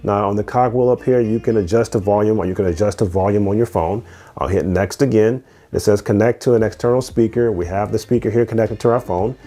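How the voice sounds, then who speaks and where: A man's voice: fairly low pitch, a little fast, warm tone. A man giving a casual, conversational product review as host, explaining things step by step and repeating phrases as he goes.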